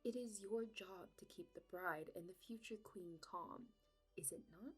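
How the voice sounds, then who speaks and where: A woman's voice: mid-range voice, moderate pace, faint music behind, lightly acted. A woman playing an anxious, lovelorn young princess bride in a romantic audio roleplay, speaking formally and hesitantly. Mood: teasing, soft. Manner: formal regal phrasing, hesitant, breathy pleading.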